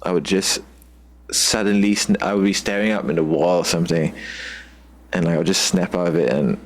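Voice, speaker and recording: A man from Singapore with a Singaporean English accent, chatting casually as a guest and often pausing to search for words. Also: low-pitched. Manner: loose and halting, searching for words with many "like" fillers. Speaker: guest musician. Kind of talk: casual video-call chat.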